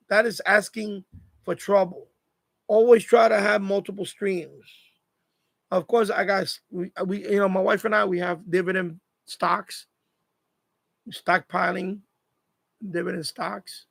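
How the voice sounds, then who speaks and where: High-pitched New man, creaky voice. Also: no echo. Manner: repeats phrases for emphasis, advice-giving. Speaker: live stream host. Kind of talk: casual live chat monologue.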